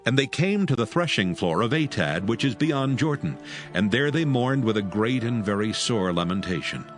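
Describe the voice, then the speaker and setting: A man's voice: medium pitch, expressive, normal speed. A man narrating scripture as the storyteller of a dramatized audio Bible. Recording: music under the voice.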